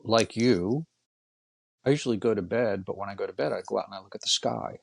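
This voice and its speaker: An older man with a gravelly, husky voice, telling personal stories casually as a guest, in a reflective way with pauses between short phrases. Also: on the low side. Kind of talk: casual storytelling in conversation.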